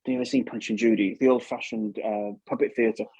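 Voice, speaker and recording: A man's voice: fairly low pitch, flat delivery, fast, a little mellow, slightly rough, no echo, dull audio. A man with an English accent talking casually and enthusiastically as an interview guest, rambling and often repeating words. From England. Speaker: puppeteer, podcast guest.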